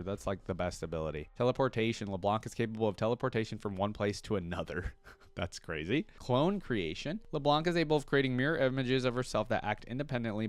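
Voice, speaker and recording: A man hosting a reaction video, reading character lore aloud and breaking off into casual, excited comments. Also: lowish voice. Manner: reads wiki text then breaks into excited asides. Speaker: reaction channel host.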